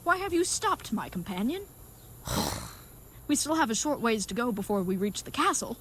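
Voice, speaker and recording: A woman's voice: a little high, huge pitch swings, normal speed, slight background noise. A woman playing an earnest, good-hearted but dim-witted knightly leathersmith in a comedic audio drama, speaking in florid, mock-archaic phrases. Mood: fond, chatty.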